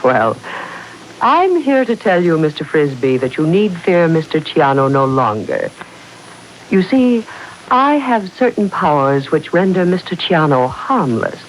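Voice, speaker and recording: An actress playing a mysterious, commanding woman with formal, deliberate speech in a 1940s radio serial. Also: sits low, big pitch swings, poor audio. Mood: cool, commanding. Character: mysterious, commanding woman who claims controlling powers. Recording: hissy 1940s radio broadcast.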